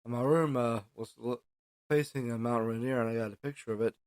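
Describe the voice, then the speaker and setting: A middle-aged man from Texas giving a casual solo podcast monologue in a halting, plain-spoken way, pausing mid-sentence. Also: mid-range voice, fairly even intonation, darkish tone, smooth, dry recording, studio quality. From Houston, Texas. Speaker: podcast host.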